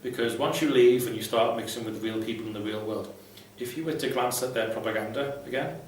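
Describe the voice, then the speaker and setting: Man, medium pitch, casual vlog advice, blunt and irreverent, swearing freely, heavy room echo, faint noise. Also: a little fast. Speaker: vlogger, ex-Jehovah's Witness advice host. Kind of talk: casual unscripted advice talk to viewers. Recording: very echoey room, distant mic, faint noise.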